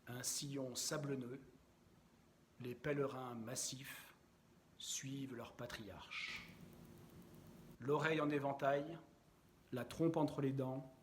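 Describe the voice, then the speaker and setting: A man reciting poetry in a measured, deliberate and expressive way, with frequent pauses. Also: mid-range voice, a little hiss or hum.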